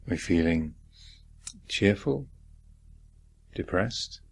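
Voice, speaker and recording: Male meditation guide, husky and slow, gently reflective, leaving generous space between phrases. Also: low-pitched, animated. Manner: gentle reflective questioning, deliberate phrasing with long pauses. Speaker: meditation teacher.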